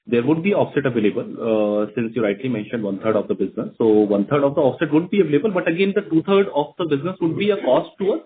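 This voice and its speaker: Man, Indian accent, medium pitch, explanatory executive answers, narrow telephone sound. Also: lively intonation, fast, hardly any pauses. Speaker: company executive answering analyst questions. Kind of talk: earnings call answers. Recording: narrow phone conference line.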